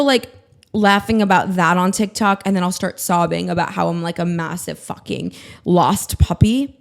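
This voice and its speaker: Swearing, rambling young woman podcaster. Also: fairly low pitch. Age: 20s. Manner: scattered, swearing, self-mocking rant. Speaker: podcast host. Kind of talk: casual solo podcast monologue.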